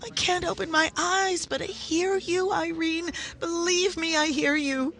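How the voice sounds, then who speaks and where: A woman acts a young bride-to-be in an old-time radio horror play. Her voice is high with a creaky edge, and she speaks in a dramatic, heartfelt, theatrical way. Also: steady tone, a little slow, fairly bright tone, heavily acted. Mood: desperate, pleading. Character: young bride-to-be, playful, then desperate while presumed dead.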